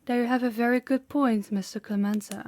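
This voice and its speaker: A woman playing a dominant, stern mafia boss in an audio roleplay, speaking formally and deliberately with authority. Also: fairly high pitch, steady tone, darkish tone, natural voice. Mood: composed, businesslike.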